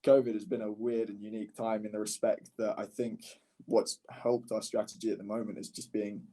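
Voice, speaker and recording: A man's voice: lowish voice, level tone, brisk, mellow. A man speaking casually as a podcast guest and startup founder, candid and often rephrasing mid-sentence. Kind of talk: casual conversational podcast interview.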